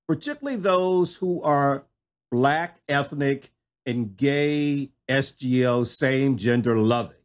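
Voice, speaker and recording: A middle-aged man hosting a podcast interview, exuberant and folksy, repeating himself and calling his listeners y'all. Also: fairly high pitch. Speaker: podcast host. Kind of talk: enthusiastic interview chat.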